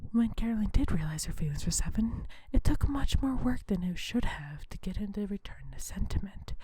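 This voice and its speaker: A woman hosting an ASMR video in a soft, breathy whisper, talking in a hushed, unhurried, conversational way. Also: medium pitch, lively intonation, dry recording.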